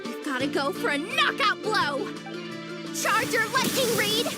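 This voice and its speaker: A woman voicing a tiny, excitable, childlike fairy companion in an anime-style video game, eager and exclamatory. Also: extremely high pitch, measured pace, loud music behind the voice, heavily acted. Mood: excited cheering.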